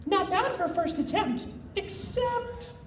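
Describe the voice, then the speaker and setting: A woman's voice: extremely high pitch, expressive, measured pace. A woman voicing a bossy, teasing female psychic cat-like creature in a live fan fiction reading. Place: convention panel room. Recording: echoey panel room, distant mic.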